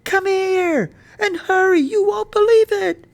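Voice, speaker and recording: A narrator playing an excited country boy who hollers urgently for his mother in a storybook reading. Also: takes it slowly, gravelly, exaggerated voice. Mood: urgent, amazed. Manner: breathless, urgent, high-pitched hollering.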